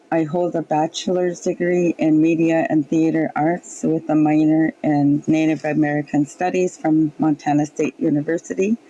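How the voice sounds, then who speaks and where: A woman from Alaska, a filmmaker, introducing herself slowly and deliberately as a guest. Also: low-pitched, warm tone. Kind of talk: slow, careful self-introduction. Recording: muffled lo-fi line with faint background hiss.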